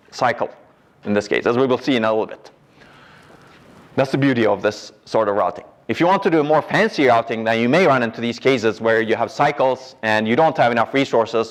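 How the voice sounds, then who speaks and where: A middle-aged man teaching in a university lecture hall, walking and pointing as he explains. He talks in a patient, explanatory way, often pausing to ask "right?" and restarting his sentences. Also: medium pitch.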